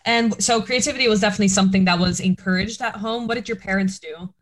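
A woman hosts a relaxed interview, moving briskly through rapid-fire questions and reacting in a friendly way. Her voice sits in an ordinary middle range. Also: mid-range voice, rough recording. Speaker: host, interviewer. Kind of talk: casual interview chat.

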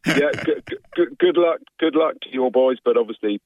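A man speaking for a trade guide as a radio guest, earnest and measured, with stumbling starts. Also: mid-range voice, expressive, no room sound, highs cut off. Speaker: industry guide representative, guest. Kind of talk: radio interview.

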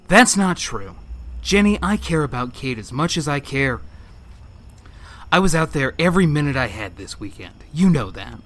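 Defensive small-town cop, animated pitch. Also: male voice, moderate pace. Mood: pleading, defensive. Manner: earnest, insistent. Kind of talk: audio drama dialogue.

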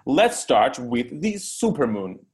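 A man's voice: mid-range voice, big pitch swings, slow pace. A man hosting an interview, asking questions and chatting in an informal, conversational style. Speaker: interviewer, host of live Q&A. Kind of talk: casual interview chat.

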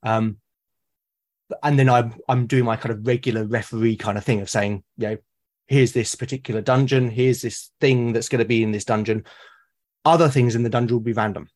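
A British man speaking as a podcast guest in casual conversation, haltingly and often repeating words as he thinks aloud. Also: mid-range voice, fairly even intonation, darkish tone. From Britain. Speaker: podcast guest, solo roleplaying gamer.

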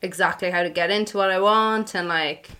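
A woman's voice: on the low side, brisk, pushed and bright, slight background noise. A woman in her twenties with an Irish accent, a native Irish speaker, chatting casually as a podcast guest and laughing often at herself. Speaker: anonymous guest.

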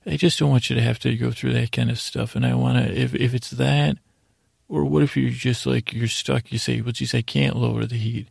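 A man hosting a bedtime podcast in a meandering, halting monologue meant to soothe, often interrupting himself, with a gravelly, husky voice. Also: mid-range voice, steady tone, no echo, clean studio sound. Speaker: podcast host.

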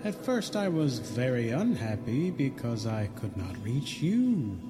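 An actor playing the solemn ghost of a dead uncle at a séance, intoning in a drawn-out, otherworldly way in a radio drama style. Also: male voice, mid-range voice, big pitch swings, long reverb tail, over music. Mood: soothing, solemn. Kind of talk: 1940s radio drama acting.

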